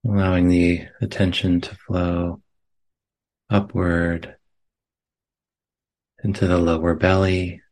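Male meditation teacher, low register, very slow delivery, gentle phrasing with long silences between short lines. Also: level tone. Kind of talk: guided meditation. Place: meditation center.

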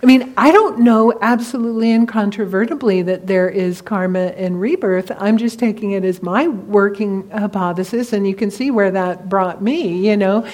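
A woman's voice: medium pitch, normal speed, few pauses, a little mellow. A woman teacher of Tibetan Buddhism answering on a discussion panel, talking casually and telling stories with animation.

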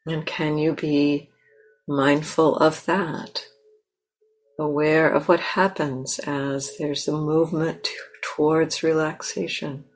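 Female meditation teacher, low register, very slow pacing, gentle delivery with long pauses mid-sentence. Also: little pitch movement. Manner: gentle, unhurried, pausing mid-phrase. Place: meditation center.

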